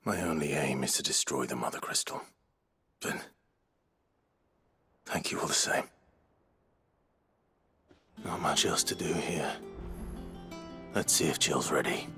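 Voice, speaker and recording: A man playing a brooding, resolute warrior nobleman in a video game, husky and earnest. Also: rumbling low, takes it slowly, long pauses, sharp tone, soft background music, full character voice. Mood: grim, resolute. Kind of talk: dramatic video game acting.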